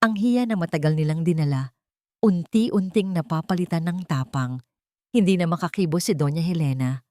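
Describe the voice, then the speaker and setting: A woman's voice: lowish voice, normal speed, darkish tone, dry recording, clean studio sound. A woman reading a scripted dramatic story as a storyteller.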